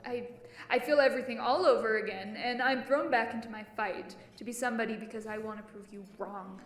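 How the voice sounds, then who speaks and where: A woman's voice: on the high side, a little fast, roomy sound, lightly acted. A woman playing a wounded, defiant character in an intense dramatic monologue, brooding and emphatic. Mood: bitter, defiant. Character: wounded, defiant woman nursing an old insult. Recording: music bed behind voice.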